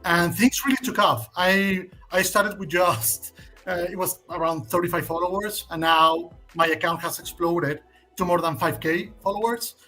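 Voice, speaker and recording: A man's voice: sits high, fairly even intonation, moderate pace, bright, forceful tone, faint music behind. A man in his forties from Mexico, a native Spanish speaker with a Mexican Spanish accent, giving opinionated answers as a guest on a casual stream, with frequent fillers and restarts.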